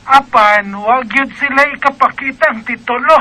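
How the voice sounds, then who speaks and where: High, bright Cebuano radio-drama mother. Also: normal speed, rough recording. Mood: earnest, animated. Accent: Cebuano Filipino.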